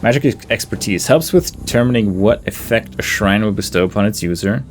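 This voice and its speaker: A man's voice: lowish voice, fairly quick, slight background noise. A man giving casual game commentary, deliberating aloud and talking to himself and to the characters as he plays. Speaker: gaming video host. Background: game music behind the voice.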